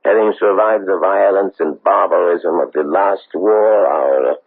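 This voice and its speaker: An actor playing a persistent, formal foreign Nazi hunter in a radio drama, coolly probing and insistent. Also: male voice, sits low, unhurried, breathy edge, exaggerated voice. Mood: pressing, insistent. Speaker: investigator seeking a war criminal's extradition. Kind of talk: 1970s radio drama acting. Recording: tinny, band-limited old radio broadcast.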